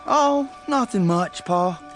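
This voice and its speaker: A man's voice: sits high, lively intonation, takes it slowly, fairly bright tone, faint music behind, exaggerated voice. An actor with a rural American accent playing a cocky, folksy young country man in a radio drama. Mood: easygoing, cocky.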